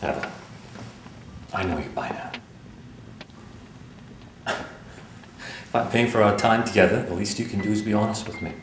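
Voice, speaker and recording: An actor playing a shaken, grieving gentleman lover in an audio drama, with a halting, emotional delivery. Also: lowish voice, animated, roomy sound, background noise, natural voice. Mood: concerned, probing. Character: Parker Raleigh, grieving secret lover, shaken career man.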